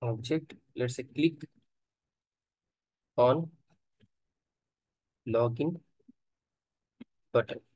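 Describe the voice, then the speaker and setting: A man teaching software testing in a screen-recorded coding tutorial, talking haltingly and thinking aloud while he types, with frequent fillers. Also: medium pitch, breathy edge, no echo, low-quality recording.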